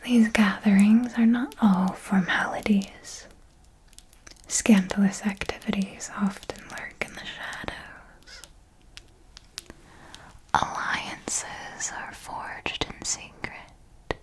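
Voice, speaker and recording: Woman playing a slow whispering vampire mentor. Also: mid-range voice, steady tone, close to an everyday voice, low-quality recording. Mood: hushed, alluring. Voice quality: soft breathy whisper. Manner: slow, deliberate, formal, intimate. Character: elegant vampire mentor, seductive, secretive, patient. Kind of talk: ASMR roleplay, scripted monologue.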